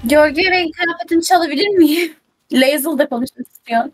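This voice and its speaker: A woman's voice: high-pitched, fairly quick, faint music behind. A woman doing casual, irreverent gameplay commentary in short asides, as a gaming streamer.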